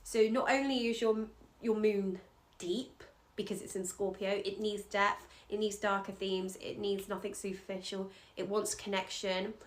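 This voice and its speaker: A woman's voice: medium pitch, steady tone, moderate pace, few pauses. A Scottish woman, an astrologer, giving an earnest, encouraging chart reading in loose conversational speech.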